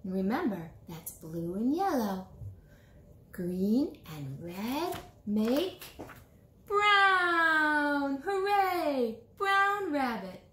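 A woman in her forties doing an animated storytime read-aloud for young children, expressive and sing-song, with drawn-out words. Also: sits high, slight background noise. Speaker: children's author reading a picture book aloud. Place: small indoor room, seated before a bulletin board. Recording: roomy small-room echo.

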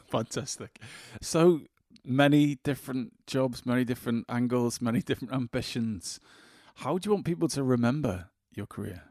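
A man's voice: medium pitch, normal speed, a little mellow, no echo, studio quality. A man hosting a podcast, speaking in a scripted introduction style. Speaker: podcast host.

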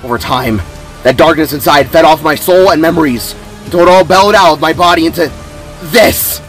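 A man's voice: fairly high pitch, a little fast, faint music behind, lightly acted, low-quality recording. A man voicing a tense, worried hero haunted by his past in a story reading. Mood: grave confession.

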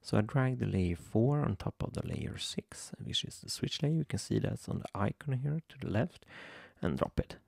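A young man from Sweden with a Swedish accent, hesitantly walking through a software tutorial at his computer, with many ums and restarts. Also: medium pitch, lively intonation, moderate pace, vocal fry, hardly any pauses, husky, no room sound, clean studio sound. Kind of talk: screen-recorded software tutorial. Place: home room at a computer.

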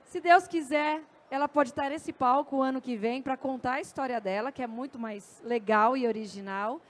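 A Brazilian woman with a notably high-pitched voice speaks on stage into a handheld microphone. She talks in a conversational way, telling anecdotes as a presenter at a festival. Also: moderate pace. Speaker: journalist and speaker.

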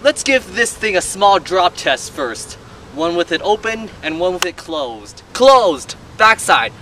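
A man's voice: sits high, sing-song, slow, pushed and bright, slight background noise. A young man hosting a jokey product-testing vlog, recorded outdoors on a camera mic. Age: young adult. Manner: playful, jokey, counts down drop tests. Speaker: YouTube host. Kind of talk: comedic product-test vlog. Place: outdoors in a dirt yard.

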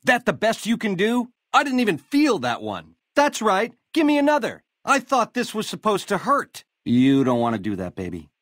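A smooth talking vegas style gangster for a game, cocky hipster swagger, very lively pitch, dry close sound. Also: male voice, moderate pace, hardly any pauses, dry recording, heavily acted. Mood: defiant, taunting. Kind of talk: video game dialogue in 1950s lounge-lizard slang.

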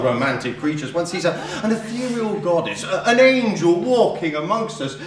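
A man acting a boorish, hot-tempered bill collector who scorns women, in a blustering, theatrical stage comedy style. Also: on the high side. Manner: blustering, ranting, mockingly theatrical. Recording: very echoey room, distant mic.

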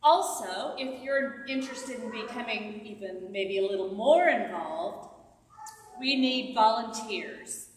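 An older woman, a church volunteer, explaining a community meal in a plain, loud voice, standing in a large sanctuary without a mic and picked up by a far camera. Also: a little high, lively intonation, a little slow, a little hiss or hum. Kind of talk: announcement. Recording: echoey hall from far off.

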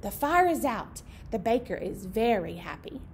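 A woman's voice: a little high, big pitch swings, normal speed. A woman in her thirties presenting a storytime as a children's librarian, speaking simply to young children and their parents. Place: meeting room indoors. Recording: clear background noise.

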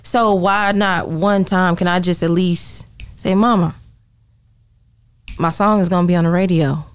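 Unhurried young woman chatting. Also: on the low side, fairly even intonation, smooth. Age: young adult. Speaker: guest singer. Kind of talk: casual interview chat. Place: small podcast studio. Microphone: close studio microphone, headphones.

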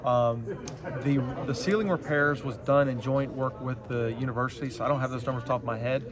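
Businesslike man amid crowd chatter. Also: mid-range voice. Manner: measured, businesslike. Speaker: athletic director. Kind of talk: press scrum answers. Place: large carpeted hotel ballroom, indoors. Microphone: handheld phone camera up close.

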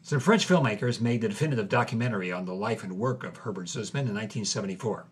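A man's voice: fairly low pitch, expressive, fast. A man hosting and narrating a podcast in a dry, matter-of-fact scripted documentary style. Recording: clear music bed behind the voice.

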